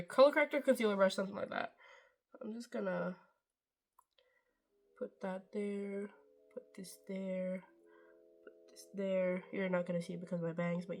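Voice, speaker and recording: A woman's voice: medium pitch, darkish tone. Chatty young woman doing a makeup tutorial. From Norway. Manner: chatty, rambling, self-deprecating, swears casually. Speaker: beauty vlogger. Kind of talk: casual makeup tutorial chat.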